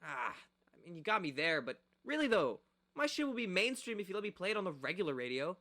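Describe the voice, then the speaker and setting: A cocky young radio DJ with a bright, forceful voice and very animated pitch. He delivers theatrical, wisecracking late-night patter, full of sarcasm and puns, in a radio drama. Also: fairly quick, exaggerated voice. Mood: wry, restless. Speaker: radio host.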